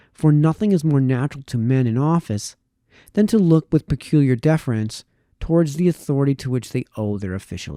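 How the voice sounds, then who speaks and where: Mellow man reading aloud, dry sound. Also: medium pitch, no echo. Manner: measured, formal read with occasional stumbles. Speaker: reader. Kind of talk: reading aloud a historical political text.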